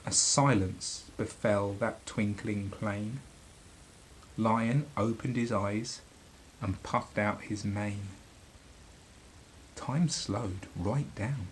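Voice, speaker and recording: A middle-aged man reading a children's story aloud, dramatically and with deliberate pauses. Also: lowish voice, slight background noise, low-quality recording. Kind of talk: expressive children's storybook narration.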